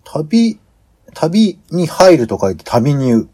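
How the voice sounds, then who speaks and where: Casting: male co-host, relaxed mellow voice with a husky edge, casual and inquisitive. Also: a little high, slow. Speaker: podcast co-host giving reactions. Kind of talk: casual chat.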